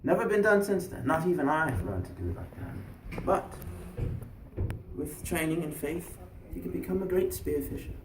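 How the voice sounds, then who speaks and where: A man's voice: a little high, big pitch swings, moderate pace. Man playing a hearty old-hand fisherman, echoey room. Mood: wistful, earnest. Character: veteran spear fisherman, gruff, hearty mentor. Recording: roomy, echoey, distant mic, faint noise.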